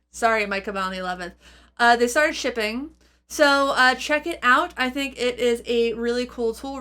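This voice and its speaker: A woman with a fairly high, very bright voice talks through a product review. Her delivery is informal and unscripted, wandering along and doubling back on itself as she addresses her viewers. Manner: informal, rambling, hesitant and self-interrupting. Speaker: host of a guitar effects pedal review channel.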